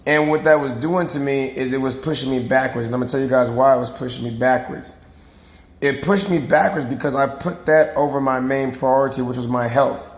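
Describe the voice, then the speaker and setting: A man with a raspy, hoarse voice, a fitness coach talking casually and earnestly to his viewers, often checking in with "right?" and "okay?". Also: mid-range voice, fairly even intonation, fairly quick. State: hoarse after boot camp. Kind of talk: casual talk to camera. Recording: roomy recording.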